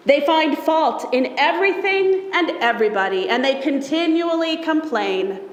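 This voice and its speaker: High-pitched woman preaching in an echoing hall. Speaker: pastor. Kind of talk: sermon read aloud from a text. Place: church sanctuary during a service. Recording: echoey church hall with long reverb.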